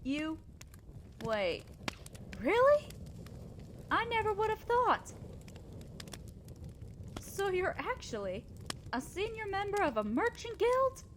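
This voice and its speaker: A woman playing a kind, selfless, self-doubting female centaur in a roleplay audio drama, speaking earnestly, gently and hesitantly to the listener. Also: very high voice, very animated, slow pace, pauses often, slight background noise, exaggerated voice. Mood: amazed, grateful.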